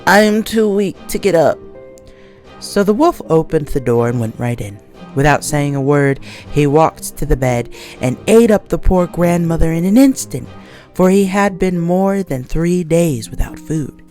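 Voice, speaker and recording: A woman narrating a scripted children's story as an expressive read-along storyteller. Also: low-pitched, moderate pace. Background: soft music.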